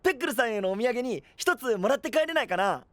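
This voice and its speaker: A man's voice: very high-pitched, lively intonation, fast talker, fairly bright tone, no room sound. A man playing a naive, cheerful young knight in an anime audio drama, speaking in a boyish, casual, wide-eyed way. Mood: amazed. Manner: boyish, casual, openly amazed.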